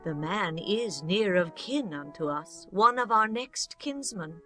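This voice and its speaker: A woman playing a caring, devout older mother-in-law giving gentle, measured counsel in a dramatized scripture audiobook. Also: mid-range voice, lively intonation, faint music behind. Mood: gently advising.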